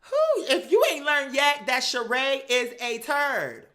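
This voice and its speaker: A woman's voice: high-pitched, slow. An American woman hosting a recap show, giving casual, blunt, sassy opinions with plenty of slang and swearing. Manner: sassy, animated, slangy, acts out imagined dialogue; blunt, profane. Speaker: host of a reality TV commentary show.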